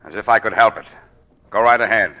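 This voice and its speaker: An actor playing a bossy, exasperated police commissioner in a 1940s radio mystery. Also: male voice, fairly low pitch, a little fast, lightly acted. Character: gruff, impatient blustering police commissioner. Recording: muffled vintage 1940s radio recording.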